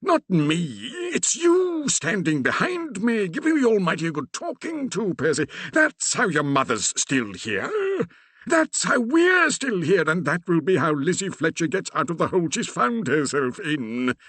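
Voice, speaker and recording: A man's voice: on the high side, huge pitch swings, fairly bright tone, no room sound, pitched above the performer's own voice, heavily acted. A man voicing a blustering older country vicar who sputters with indignation and drags out his words. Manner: sputtering, indignant, drawn-out phrasing.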